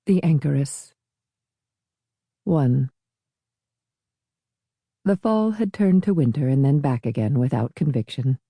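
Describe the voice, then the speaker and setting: A female audiobook narrator reads literary prose in a soft-edged, mellow voice. The sound is dry and close, with no room around it. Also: a bass voice, slow pace, pauses often, slightly rough, no room sound.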